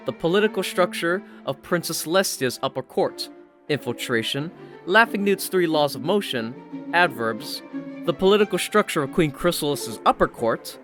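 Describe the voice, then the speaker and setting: A man reading scripted fiction as a story narrator, with music playing behind him. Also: on the high side. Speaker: audiobook-style story narrator.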